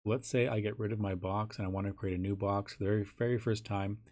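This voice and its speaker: A hoarse, raspy man teaching a software tutorial in a casual way, thinking aloud with ums and restarts. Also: fairly low pitch, steady tone, fast talker, slight background noise. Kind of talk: screen-recorded software tutorial.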